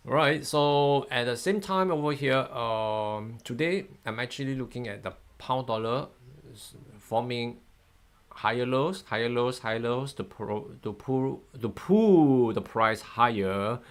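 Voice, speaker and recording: A man's voice: medium pitch, lively intonation, measured pace. A man in his fifties, a native Mandarin speaker, running a live trading lesson in a chatty, upbeat way, often laughing and thanking viewers. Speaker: forex trading educator. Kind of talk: live-stream chart analysis.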